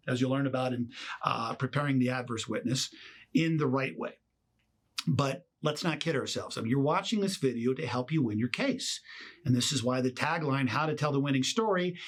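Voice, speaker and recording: A man presenting a motivational instructional talk as a coach, emphatic, leaning on key words and posing rhetorical questions. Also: a little high. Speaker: trial consultant and author presenting a lesson.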